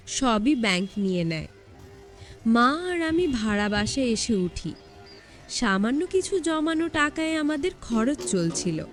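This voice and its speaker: A woman reading a scripted first-person story as a narrator. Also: sits high.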